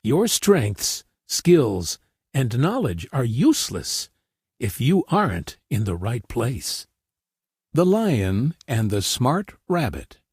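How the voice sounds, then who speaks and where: Male narrator, children's story reading, slightly husky, measured pace, dry close recording. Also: mid-range voice, sing-song, dry recording.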